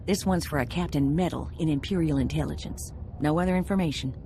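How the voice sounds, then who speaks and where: An actress playing a folksy, brisk female bounty broker in a video game. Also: deep voice, dark tone, slight background noise.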